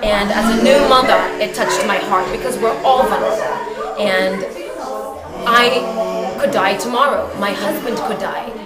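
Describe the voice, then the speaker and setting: A woman's voice: fairly high pitch, unhurried. A woman from Kenya whose first language is Kikamba, a charity founder speaking earnestly in an interview at a party. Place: party with music. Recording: echoey room, loud music behind.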